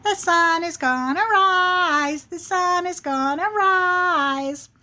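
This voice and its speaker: A woman's voice: extremely high pitch, slow, bright, forceful tone, voice pushed up, full character voice. A woman voicing a cheeky, smart-alecky little male squirrel in an animal folktale, in a sing-song way. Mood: taunting, gleeful.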